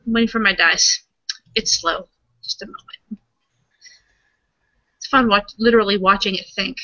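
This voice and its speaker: A woman talks casually as a player in a roleplaying game. Her voice is sharp and bright at an average pitch. The sound is very dry, with a slightly muffled online quality. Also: normal speed, dry recording. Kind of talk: casual in-character game dialogue.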